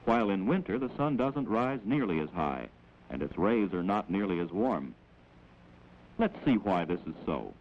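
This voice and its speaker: Male narrator, American, for a 1940s classroom film: lowish voice, explanatory delivery, dull vintage soundtrack with faint noise. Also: sing-song. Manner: measured, explanatory, instructive. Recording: dull, noisy old 16mm film soundtrack.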